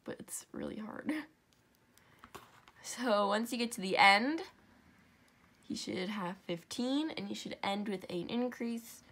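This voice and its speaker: A woman's voice: mid-range voice, slow pace, long pauses, a bit bright. A young woman with a stuffy, congested nose, chatting her way through a step-by-step crafting tutorial at home, with rambling asides and self-corrections.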